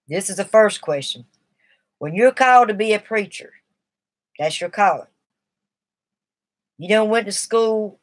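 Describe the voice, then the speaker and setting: A middle-aged woman, talking earnestly and at length on a live stream while shaky from nicotine withdrawal. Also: mid-range voice. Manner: rambling, earnest and confiding. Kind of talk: casual live stream talk.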